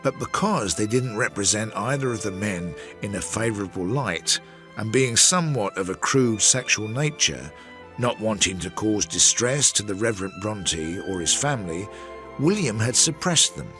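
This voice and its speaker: Man acting Victorian investigator, radio play narration, lowish voice, lively intonation, deliberate, reflective. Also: over music, close to an everyday voice. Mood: measured, wry. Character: measured Victorian investigator recounting a failed case. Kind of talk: first-person radio drama narration.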